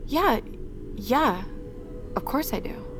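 A woman's voice: a little high, animated, takes it slowly, music in the background. An actress playing an earnest, caring young woman in a naturalistic fiction podcast, with a conversational delivery. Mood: urgent.

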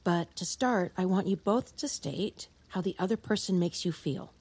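Audio drama: measured, reassuring female therapist, low mellow husky voice, neutral and gently guiding. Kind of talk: naturalistic audio drama acting.

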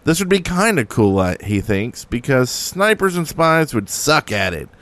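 Casual mid-pitched man hosting a podcast. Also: sing-song, normal speed, a bit bright. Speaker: podcast host. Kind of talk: casual chat, reading listener emails.

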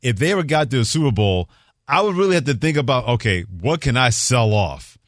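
A man hosting a sports talk radio show, chatting casually in measured, folksy phrases. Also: medium pitch, very animated, dry recording, clean studio sound.

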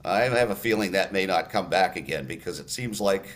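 An older man, a radio announcer, telling stories in a relaxed interview with lots of fillers and restarts. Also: low voice, a little fast. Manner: storytelling, many fillers and restarts.

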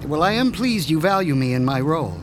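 An actor playing a meek, sinister underground doctor who speaks formally and softly sardonic. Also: male voice, on the high side, sing-song, fairly quick, background noise. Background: music score.

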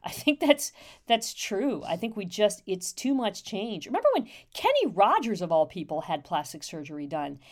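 A woman co-hosting a casual radio chat, chatty and expressive with frequent agreement. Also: medium pitch, moderate pace.

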